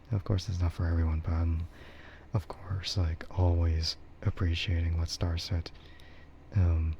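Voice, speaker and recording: A man chatting casually and soft-spoken with his livestream viewers, rambling in a relaxed way. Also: low-pitched, dull audio, slight background noise. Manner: relaxed, soft-spoken ASMR yapping, often chuckling. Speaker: streamer, VTuber host.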